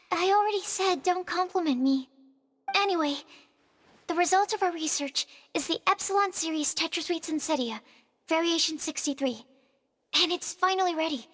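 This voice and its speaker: High-voiced, earnest young alchemist. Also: female voice, normal speed, a bit bright. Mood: proudly technical. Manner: modest, precise, bookish. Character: shy, earnest young female alchemist and researcher. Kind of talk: video game character dialogue. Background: faint music.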